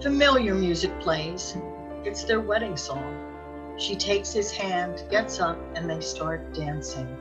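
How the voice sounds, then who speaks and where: A woman's voice: on the low side, lively intonation, slow, over music, low-quality recording. A woman narrating a scripted radio drama as its storyteller.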